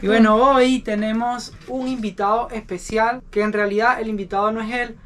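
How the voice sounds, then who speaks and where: A man's voice: sits high, flat delivery, moderate pace, heavy background noise. A man hosting a podcast aboard a sailboat, introducing his guest and asking friendly prompting questions. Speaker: podcast host. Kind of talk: casual podcast interview.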